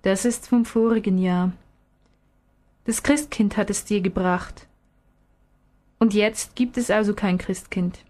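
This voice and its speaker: A woman voicing a patient father who questions and explains gently in a storybook reading. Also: medium pitch, slow, pauses often, lightly acted. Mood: patient explaining. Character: patient, gently probing father of a small boy.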